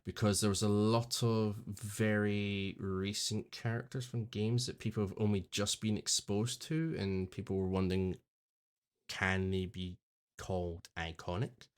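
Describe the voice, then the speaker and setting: A man's voice: fairly low pitch, little pitch movement, measured pace, smooth. Chatty man hosting a podcast. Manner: chatty, joking, self-deprecating. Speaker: podcast host. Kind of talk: casual chat.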